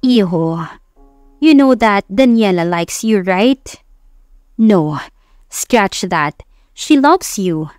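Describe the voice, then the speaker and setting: A woman's voice: mid-range voice, animated, very slow, pauses often, darkish tone, smooth, dry recording, studio quality, faint music behind. Woman voicing a concerned Filipino mother. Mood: hesitant. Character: gentle, concerned older wealthy mother of grown son.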